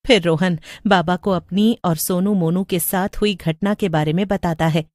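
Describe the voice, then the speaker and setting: A woman's voice: lowish voice, fairly even intonation, moderate pace, a little mellow, dry recording, clean studio sound. A woman narrating a scripted story as a storyteller.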